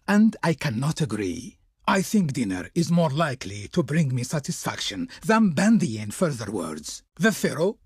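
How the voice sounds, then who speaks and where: Raspy, pompous Egyptian envoy. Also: male voice, on the high side, no room sound, studio quality, exaggerated voice. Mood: courteous refusal, then bored, exasperated. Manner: pompous, declamatory, ornate. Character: haughty, florid male ancient Egyptian envoy of Pharaoh. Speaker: video game voice actor. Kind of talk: theatrical historical strategy game diplomacy lines.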